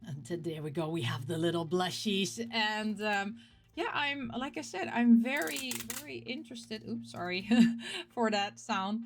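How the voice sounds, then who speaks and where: A woman's voice: mid-range voice, a little slow. Woman chatting casually over faint music. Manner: rambling, repeats words for emphasis. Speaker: host of a game collecting show. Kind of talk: casual chat, showing game pick-ups.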